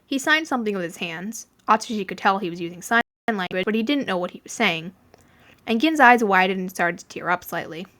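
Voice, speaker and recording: A woman narrates a fan-fiction story in a mid-pitched voice. Her reading is expressive, with intonation that moves up and down a lot. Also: fairly quick.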